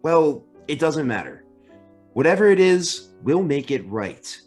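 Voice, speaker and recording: A man's voice: fairly high pitch, sing-song, measured pace, soft background music. A man playing an earnest, insistent sweetheart who presses emotionally, in an old-time radio drama. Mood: earnest pleading.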